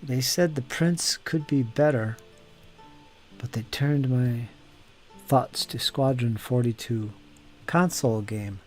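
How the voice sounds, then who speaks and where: A man's voice: medium pitch, takes it slowly, long pauses, faint music behind. A man hosting a casual live stream while painting miniatures, chatting with viewers by name and muttering to himself as he works. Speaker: hobby streamer.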